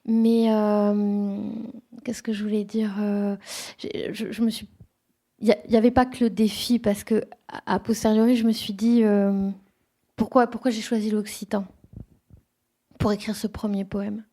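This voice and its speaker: Woman poet, mellow tone, hesitant, thoughtful, many restarts. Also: medium pitch, level tone, unhurried. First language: French. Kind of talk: bookshop talk. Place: bookshop event room.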